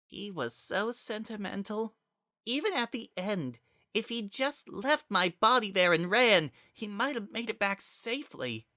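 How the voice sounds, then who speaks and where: Man acting a wistful young spirit, soft, much higher pitch, hesitant, melancholic, dramatic story reading. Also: animated, a little slow, dry recording, clean studio sound, full character voice. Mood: sad, confused. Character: lost, sentimental young spirit, wistful and confused.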